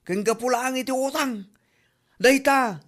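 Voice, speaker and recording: A woman's voice: sits high, measured pace. A woman with an Ilocano accent acting as a mocking, scolding village busybody in a comedy drama. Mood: taunting.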